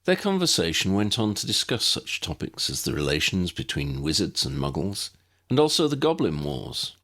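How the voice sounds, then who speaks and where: A man reading scripted story narration in a measured, expressive storytelling manner. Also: sits low. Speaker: audiobook narrator and author.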